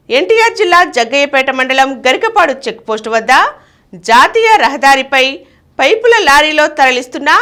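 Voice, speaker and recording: Female news reader, high pitch, very varied melody, scripted bulletin delivery. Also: fairly bright tone.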